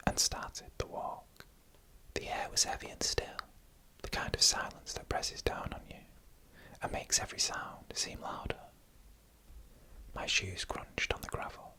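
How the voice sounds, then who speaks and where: An ASMR narrator tells eerie tales entirely in a whisper. The sound is bright and sibilant, with no voiced tone. The delivery is hushed and deliberate, close on the microphone. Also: very high-pitched, rough recording. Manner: slow, hushed, deliberate storytelling in an unvoiced whisper. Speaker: ASMR storyteller. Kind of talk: whispered ASMR narration of scary first-person stories.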